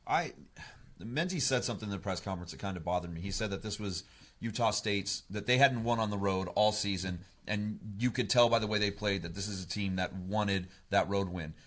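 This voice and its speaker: A man's voice: fairly low pitch, a little fast, fairly bright tone. A man with an American accent, a sports reporter giving blunt, critical opinions in a panel discussion.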